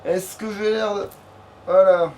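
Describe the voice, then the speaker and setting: A man's voice: high-pitched, steady tone, takes it slowly, warm tone. A man giving casual let's play commentary, talking crudely and swearing heavily. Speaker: let's player. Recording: faint background noise.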